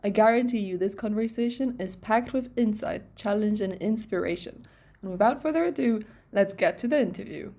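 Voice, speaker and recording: A woman hosting a podcast, reading a scripted introduction in a smooth, even way. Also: mid-range voice, normal speed. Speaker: podcast host.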